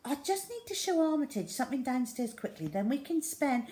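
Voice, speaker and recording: Woman playing a high-voiced, plucky little schoolgirl. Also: very animated, a little fast, dark tone. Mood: curious, keen. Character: plucky, kind little schoolgirl with a pet rat.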